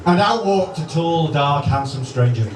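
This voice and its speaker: A man narrating a spoof radio bedtime story live on stage, theatrically, with dramatic pauses. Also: on the high side, lively intonation. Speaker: narrator of a live comedy sketch. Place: large indoor club event before live audience. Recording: echoey noisy hall, distant microphone.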